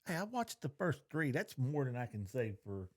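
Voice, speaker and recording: A man with a medium-pitched voice that moves up and down in a lively way. He banters casually as a podcast co-host, in folksy, colloquial phrasing. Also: moderate pace.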